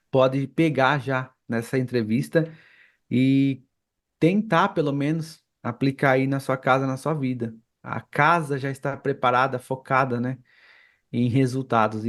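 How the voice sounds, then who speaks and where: Brazilian man co-hosting, mid-pitched voice. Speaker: podcast co-host. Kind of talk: casual podcast commentary addressed to viewers.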